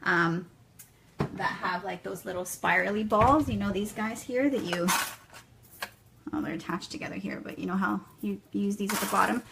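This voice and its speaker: A woman's voice: medium pitch, vocal fry. A woman in her thirties with a French accent, demonstrating a recipe to viewers and checking in with "okay?" and "guys" after nearly every line. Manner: chatty, keeps tagging "okay?" and "guys". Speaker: vlogger demonstrating a smoothie recipe. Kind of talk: casual kitchen demo, talking to viewers. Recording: noisy home recording.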